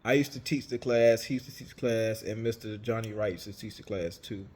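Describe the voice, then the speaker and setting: A man's voice: lowish voice, steady tone, brisk, darkish tone, breathy edge. A man in his late twenties, raised in Savannah, Georgia, talking casually and rambling, often correcting himself. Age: 20s. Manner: rambling, self-correcting, chatty. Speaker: vlogger, entrepreneur. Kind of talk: casual storytelling monologue.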